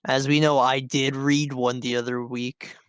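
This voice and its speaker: A man's voice: medium pitch, little pitch movement, a little slow, a bit bright, clear, resonant tone, dry recording. A man co-hosting a podcast, chatting casually with a blunt, teasing manner. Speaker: podcast co-host.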